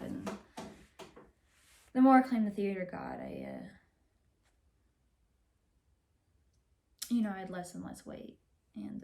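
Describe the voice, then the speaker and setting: A young woman playing a wistful, candid actress confiding in her divorce lawyer in a halting, rambling dramatic monologue. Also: medium pitch, vocal fry, dark tone. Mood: subdued, regretful. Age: young adult. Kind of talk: naturalistic dramatic film monologue.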